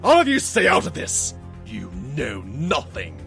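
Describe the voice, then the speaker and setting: Theatrical villain stallion, high and swooping. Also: male voice, wildly swooping pitch, a little slow, gravelly, music in the background, full character voice. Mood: possessive. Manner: theatrical, grandiose. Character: vain, obsessive washed-up stage actor stallion, villainous kidnapping father. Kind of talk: audio drama cartoon villain acting.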